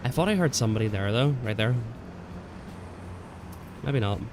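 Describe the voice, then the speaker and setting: A man's voice: mid-range voice, expressive, normal speed, background noise. Congested young man chatting on stream. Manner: chatty, laid-back, answers chat, says "man" and "bro" often. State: congested from a cold. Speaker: gaming livestreamer. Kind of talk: casual live stream chat while playing.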